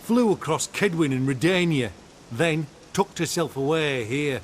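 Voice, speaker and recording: A man's voice: expressive, unhurried. An actor playing a surly, contemptuous military commander who hunts witches in a fantasy game, with a brusque, scornful delivery. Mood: bitter. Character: surly, foul-mouthed male witch-hunter commander, contemptuous and hard. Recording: game audio with rumbling ambient noise.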